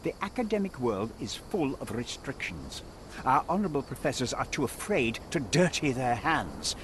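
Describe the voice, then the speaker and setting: A man's voice: mid-range voice, sing-song, normal speed, a little hiss or hum, close to an everyday voice. An actor playing a stern, proud male archaeology professor who lectures and commands in a video game. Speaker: dig site leader.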